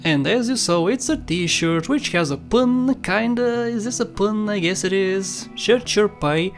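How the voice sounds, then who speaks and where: A man's voice: high-pitched, expressive, a little slow. A young man with a Bulgarian accent, whose first language is Bulgarian, giving jokey, rambling commentary over a game walkthrough. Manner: jokey, rambling, laughs at his own jokes. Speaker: gameplay commentator. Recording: faint game music underneath.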